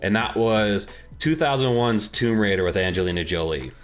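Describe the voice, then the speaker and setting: A man's voice: lowish voice, lively intonation, moderate pace, faint music behind. A man co-hosting a podcast, chatting casually about films and games in an informal, slangy way. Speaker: podcast co-host.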